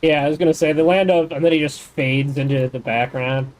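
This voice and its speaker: A man's voice: a little high, fairly even intonation, brisk, rough recording. A man streaming a game, chatting casually and explaining the game's mechanics in detail. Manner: rambling, thinks aloud. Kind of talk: casual gaming chat.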